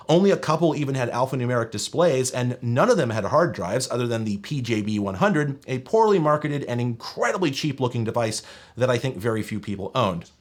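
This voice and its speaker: Male video host, mid-pitched with very animated delivery; wry, opinionated, wordy gadget-review narration. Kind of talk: scripted review narration, conversational.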